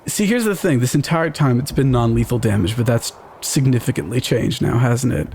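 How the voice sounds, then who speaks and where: A man's voice: medium pitch, lively intonation, brisk, a little mellow, a little hiss or hum. A man taking part in a tabletop game, casually narrating what his character does.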